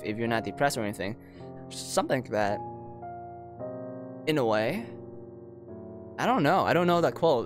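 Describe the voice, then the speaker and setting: A man streams a visual novel, reading the text aloud and chatting about it in an informal way. His pitch moves around a lot, with a tone of amused disbelief in his reactions. Music plays clearly behind his voice.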